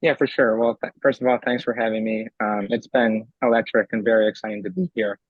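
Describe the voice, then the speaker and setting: A young man from Eastern Pennsylvania, a convention delegate whose voice is raspy from screaming, answering eagerly with many ums in a remote interview. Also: on the low side, level tone, fairly quick, dry recording, narrow, tinny audio. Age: young adult. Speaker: convention delegate and law student.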